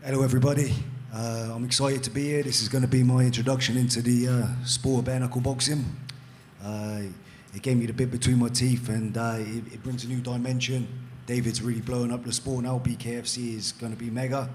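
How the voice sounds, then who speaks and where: A man's voice: medium pitch, flat delivery, a little fast, slight background noise. A man, a boxer, introducing himself at a press conference, upbeat and confident.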